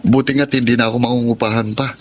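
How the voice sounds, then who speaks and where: A man's voice: mid-range voice, steady tone, fast, a little hiss or hum, natural voice. An actor playing a humble, polite provincial baker in a melodrama, hesitant and deferential in the way he talks. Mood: shy, respectful. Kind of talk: radio-style melodrama acting.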